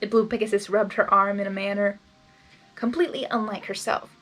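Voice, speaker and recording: A woman's voice: mid-range voice, steady tone, fairly quick, fairly bright tone, soft background music. A woman doing a blind reading of a fan story, stumbling on words and adding gushing asides. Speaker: reader.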